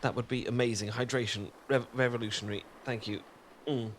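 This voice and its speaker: A man's voice: mid-range voice, steady tone, a little fast. British man, awkward stammering roleplay, nervous laughs, faint background hiss. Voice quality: frequent nervous laughs and sighs. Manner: flustered, stammering, self-deprecating. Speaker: ASMR roleplay performer. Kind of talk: ASMR roleplay.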